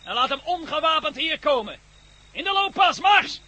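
An actor playing a cocky, menacing gang leader in a radio drama, barking orders with a sardonic edge. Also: male voice, very high-pitched, big pitch swings, moderate pace, noisy background. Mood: commanding. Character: cocky commanding male armed gang leader, menacing, mocking.

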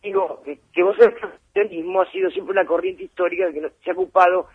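A woman's voice: lowish voice, fairly even intonation, fast, slightly rough. A woman, speaking as a phone guest on live radio, thoughtful and hesitant with fillers and restarts. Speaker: phone guest, head of a gender commission. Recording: tinny phone line that drops out.

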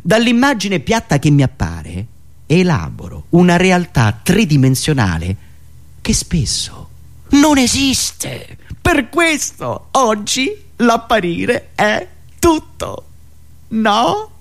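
A man delivering a theatrical political monologue in a dramatic, emphatic manner, with deliberate pauses. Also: high voice, wildly swooping pitch. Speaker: satirical stage performer and radio host.

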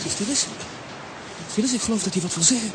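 Actor doing a brave young boy in a kids radio play, eager, medium pitch, noisy background. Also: quick pace, lightly acted. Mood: alert. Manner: earnest, eager, exclamatory. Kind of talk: children's audio drama acting. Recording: noisy audio with ambient sound.

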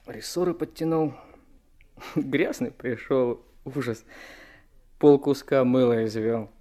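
An actor as a young newlywed driver in a radio play. He is plain-spoken, affectionate and easygoing. His voice sits at an ordinary male pitch. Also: takes it slowly, dark tone, close to an everyday voice. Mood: happy, easygoing.

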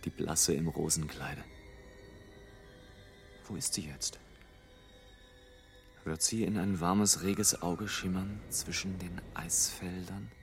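An actor playing an exalted airship pilot in a literary radio play, declaiming poetically and theatrically. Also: male voice, low-pitched, steady tone, slow, long pauses. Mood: hushed awe. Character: exalted male airship pilot, wildly agitated, then awestruck and solemn. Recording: 1980s radio drama production with music.

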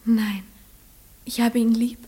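A woman's voice: fairly high pitch, little pitch movement, takes it slowly, warm tone. A female performer playing a shy, earnest little village schoolgirl, hesitant and stubbornly truthful, in a radio play. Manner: hesitant, short simple answers. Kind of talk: classic German radio play acting.

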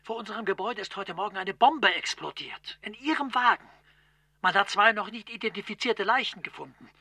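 An actor playing a senior male EU official in a radio play, speaking in a formal, soothing way with a gravelly voice. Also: sits high, a little fast, pushed and bright, no room sound, exaggerated voice. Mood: reassuring. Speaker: director general.

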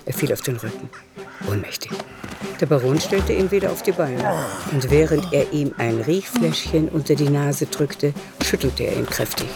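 A man's voice: on the high side, animated, moderate pace, creaky, music in the background. A man narrating a literary radio drama from a script.

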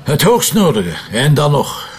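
A man's voice: a little high, sing-song, measured pace. A man with a Flemish accent acting a seasoned, sceptical police inspector in a crime drama, dry, blunt and colloquial. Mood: firm, wry.

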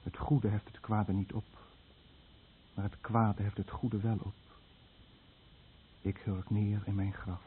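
A man's voice: on the low side, flat delivery, slow, pauses often. An actor playing a solemn, guilt-ridden and stubborn Japanese boatman who faces death in a radio play. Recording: muffled, noisy old radio play recording.

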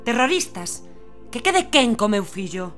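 A woman's voice: on the high side, big pitch swings, a little slow, faint music behind. An actress with a Galician accent playing a frightened young mother who pleads as police take her away. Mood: desperate. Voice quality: pleading, anguished. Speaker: young mother being arrested. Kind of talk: radio drama acting.

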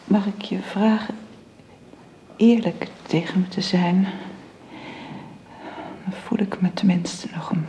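An actress playing a frail, weary, kindly bedridden lady in a radio drama, speaking in a breathy near-whisper. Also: medium pitch, steady tone, takes it slowly, pauses often, warm tone, lo-fi sound. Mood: weary, tender. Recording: radio drama recording with faint noise.

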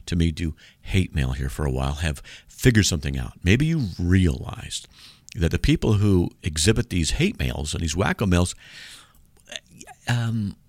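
A man with a deep voice hosting a call-in radio show, joking and sarcastic as he reads out mail. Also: a little mellow, no room sound.

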